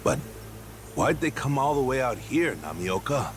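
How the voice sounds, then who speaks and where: An actor playing a nervous, knowledgeable sailor, speaking in an emphatic, explanatory way in a game cutscene. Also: male voice, mid-range voice, big pitch swings, normal speed, lightly acted. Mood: wary. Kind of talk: dramatic game cutscene acting. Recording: music under the voice.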